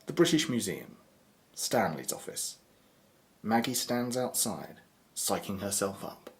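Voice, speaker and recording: A man's voice: lowish voice, big pitch swings, unhurried, slight background noise. A man narrating an audio drama in a scripted storytelling style.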